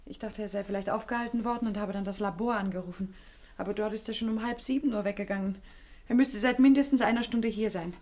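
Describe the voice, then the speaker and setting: A woman's voice: mid-range voice, fast talker, darkish tone, close to an everyday voice. An actress playing a polite, anxious young woman in a German radio play, speaking in a tentative, questioning way. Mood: worried.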